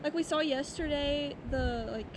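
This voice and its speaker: A woman's voice: high-pitched, unhurried. A young woman chatting on a kayak trip, full of "like" and "um", recorded on an action camera outdoors. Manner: chatty, lots of "like" and "um". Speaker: vlog co-host. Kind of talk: casual travel vlog. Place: kayak on open water outdoors, windy. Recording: heavy wind noise on action camera.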